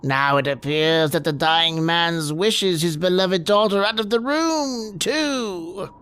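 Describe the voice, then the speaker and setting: A woman playing a sinister old hermit who narrates radio horror with teasing, drawn-out questions. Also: lowish voice, fairly bright tone, clear, resonant tone. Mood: ominous. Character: sinister old hermit storyteller, gloating over terror.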